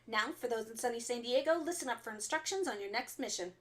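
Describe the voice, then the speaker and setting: A woman's fairly high voice delivers formal underground radio bulletins as an acted role. She is composed and stern, measuring each phrase like an official announcer in a sci-fi radio drama. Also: animated, fast, very noisy, natural voice. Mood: steady, reassuring.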